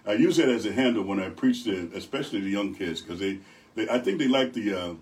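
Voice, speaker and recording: Deep-voiced older man, distant mic. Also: lively intonation, fast talker, a little mellow. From Rochester, New York. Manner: good-humored, rambling storyteller. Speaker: evangelist guest. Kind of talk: radio interview. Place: radio studio.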